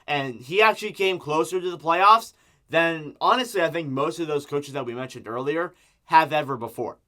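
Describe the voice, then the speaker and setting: A man's voice: fairly high pitch, moderate pace, bright, forceful tone. A man with a sore throat from strep giving an emphatic, exasperated, opinionated sports rant as a fan making an online video. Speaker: hockey fan YouTuber.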